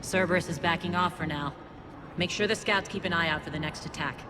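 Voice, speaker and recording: A woman's voice: on the low side, a little fast, noisy background, close to an everyday voice. A woman voicing a principled, guarded alien gang leader in a video game, speaking with firm command. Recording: loud music under the voice.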